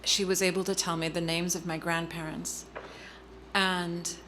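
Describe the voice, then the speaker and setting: Bright-voiced woman telling a family story. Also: on the low side, level tone, a little hiss or hum. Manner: earnest, halting with frequent ums and restarts. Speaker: guest speaker. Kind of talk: personal story in a public interview.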